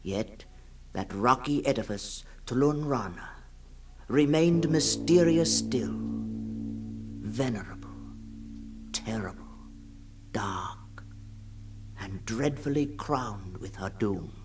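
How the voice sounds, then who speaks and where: A man reading a fantasy tale as scripted dramatic narration, solemn and portentous, with deliberate pauses. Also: medium pitch, lively intonation, noisy background. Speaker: story reader.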